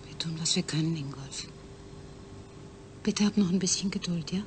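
A woman's voice: lowish voice, normal speed, a little hiss or hum, lightly acted. A woman playing a caring scientist who patiently soothes her patient in a radio drama. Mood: soothing. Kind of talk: German radio drama acting.

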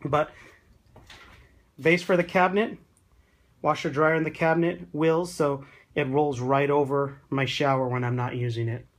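A man with a slightly high voice gives a casual, chatty tour of his half-built tiny house and points things out as he goes. He talks into a handheld phone camera inside a small wooden room. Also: fairly even intonation, moderate pace, pauses often. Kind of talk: casual walkthrough vlog.

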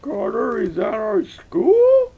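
A high, strained teenage boy played by a man, hot-headed and easily panicked. He blurts his lines with agitation in an amateur story reading, with some low rumble behind him. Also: big pitch swings, slow, vocal fry, dark tone, exaggerated voice. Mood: panicked, angry.

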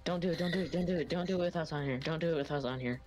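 A woman with a very deep voice chatting through an online game session. She sounds relaxed and steady, and she is the one steering the group. Faint game music plays behind. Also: racing. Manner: laid-back, steady, directs the group. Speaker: gamer playing with friends. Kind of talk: casual gameplay chat.

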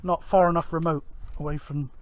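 A middle-aged man gives casual outdoor vlog commentary in a rambling, halting way, thinking aloud. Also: on the high side, steady tone. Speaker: amateur radio vlogger, presenter. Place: outdoors on an open grassy hillside.

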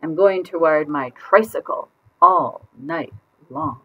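A woman voicing a stubborn, defiant little girl from a picture book, emphatic and drawn-out in a sing-song way. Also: low-pitched, sing-song, gravelly, breathy edge, lo-fi sound, heavily acted. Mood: gleeful, determined.

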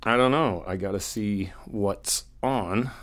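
A man playing an easygoing, flirty hotel guest in an audio roleplay, chatting casually and haltingly in one-sided phone talk. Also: lowish voice, fairly bright tone. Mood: casual, weary. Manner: casual, halting, slangy, swearing.

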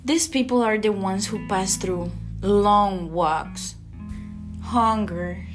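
Student from Costa Rica, reads her script slowly, guitar bed under her. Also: medium pitch. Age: young adult. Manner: slow, earnest reading. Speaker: student podcaster. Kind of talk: scripted reading.

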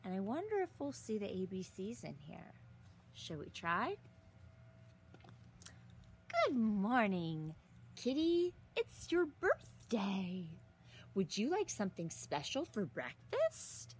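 A woman in her thirties reading a picture book aloud to young children outdoors, expressively, with exaggerated gasps and drawn-out words. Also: medium pitch, very animated. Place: outdoors in a leafy garden. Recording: outdoor recording with some ambient noise.

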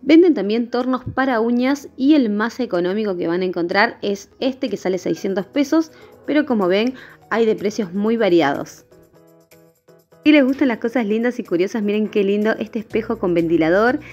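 A woman's voice: medium pitch, lively intonation, normal speed, darkish tone. A woman narrating a shopping vlog in voice-over. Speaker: vlogger. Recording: dull lo-fi voice-over with music. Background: background music.

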